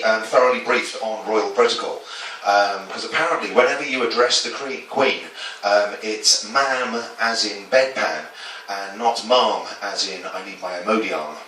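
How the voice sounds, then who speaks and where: Stand-up comic, echoey club. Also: male voice, medium pitch, pushed and bright, a little hiss or hum. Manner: self-deprecating, stumbling asides, sung jingle stings. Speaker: stand-up comedian. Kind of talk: stand-up set of topical jokes. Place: small comedy club. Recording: echoey club from a distance with chatter.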